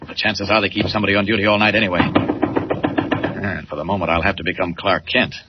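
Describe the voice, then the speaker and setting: An actor playing a confident hero who thinks aloud in clipped, declamatory remarks, in 1940s radio style. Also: male voice, sits low, level tone, a little fast, lightly acted. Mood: resolute. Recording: very noisy muffled old radio recording.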